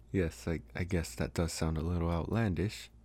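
Very deep male voice, slow, mellow, hesitant, smitten young vampire, acted by a man, intimate ASMR roleplay. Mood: amused, casual. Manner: hesitant, soft-spoken, slow with long pauses. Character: young male college vampire, smitten, calm, gently possessive. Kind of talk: ASMR roleplay, intimate one-sided dialogue.

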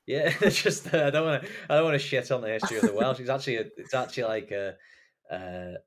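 A man's voice: mid-range voice, fast talker. A young man from England hosting a podcast, chatting casually and rambling with lots of fillers and restarts. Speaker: podcast host. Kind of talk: casual chat.

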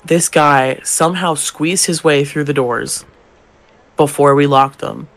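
A man's voice: on the high side, fairly even intonation, unhurried, a bit bright. A young man, a drag queen co-hosting a podcast, telling work stories in casual chat, swearing and acting out customers' lines. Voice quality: gravelly, feminine-leaning voice.

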